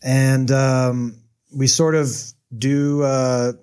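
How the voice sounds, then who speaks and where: American man, interview guest, mid-pitched, loose and thoughtful, plenty of ums. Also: flat delivery, extremely slow, mellow, clear, resonant tone. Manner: thoughtful, hedging with many fillers. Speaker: guest, data journalist. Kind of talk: casual interview answers.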